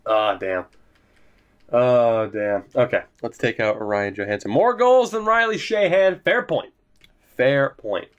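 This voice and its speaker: A young man talks his way through a sports video game in loose, rambling commentary. He swears freely and pokes fun at himself, his voice leaping up and down. His pitch sits in the middle for a man. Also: animated, slow, clear, resonant tone, highs cut off. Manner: rambling, swears often, self-mocking. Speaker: gaming YouTuber. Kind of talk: casual gameplay commentary.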